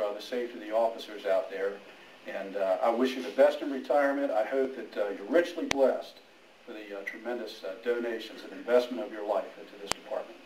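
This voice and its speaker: A middle-aged man gives an informal speech to honor a colleague, with a halting, storytelling delivery. His medium-pitched voice is picked up from a distance in an echoey room with a little background noise. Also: a little mellow. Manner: halting, anecdotal, with quoted dialogue. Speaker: police major giving a retirement speech. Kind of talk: informal speech with humorous anecdotes. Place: indoor room at a retirement party.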